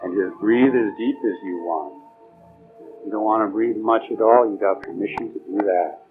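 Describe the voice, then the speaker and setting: A man leading a guided meditation, giving slow spoken instructions in a soothing, permissive and informal way, with pauses. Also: fairly low pitch. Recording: dull, muffled lo-fi recording with music.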